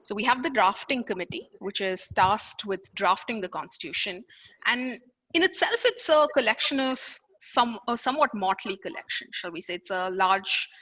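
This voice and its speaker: A woman's voice: medium pitch, bright, forceful tone, poor audio. A woman from India, a native Tamil speaker with an Indian accent, introducing a discussion as its moderator.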